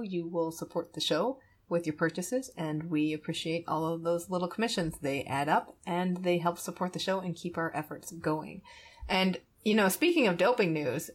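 A woman hosting a podcast in a casual, conversational style, chuckling often as she talks. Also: lowish voice. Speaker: podcast host.

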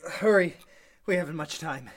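A man's voice: a little high, normal speed, close to an everyday voice. An actor playing an urgent, injured man in the style of an old-time radio drama. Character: Alan, urgent injured man pleading to flee.

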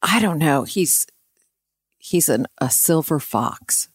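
A woman's voice: deep voice, animated, takes it slowly, breathy edge, no echo, studio quality. A woman talking casually as a podcast guest, candid and joking. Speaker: podcast guest, singer-songwriter. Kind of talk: casual chat.

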